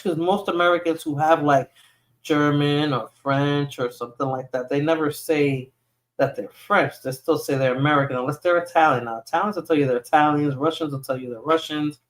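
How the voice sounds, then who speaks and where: A woman's voice: deep voice, low-quality recording. New York woman chatting on a livestream. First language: Spanish. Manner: rambling, answers viewer comments aloud. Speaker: livestream host. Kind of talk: casual livestream chat.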